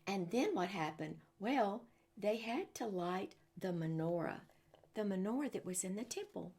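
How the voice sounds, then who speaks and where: Female children's storyteller, creaky fry in the voice, chatty read-aloud with questions and exclamations for the kids. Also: mid-range voice, lively intonation, normal speed, darkish tone. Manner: asks the young listeners rhetorical questions. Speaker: storyteller and religious teacher for children. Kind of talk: picture-book story time for children.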